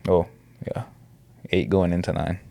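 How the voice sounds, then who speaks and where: A young man from the Dominican Republic co-hosting a podcast, talking in a relaxed, rambling, slangy way with lots of fillers. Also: low voice, a little slow, gravelly, no echo. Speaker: podcast co-host. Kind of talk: casual podcast chat.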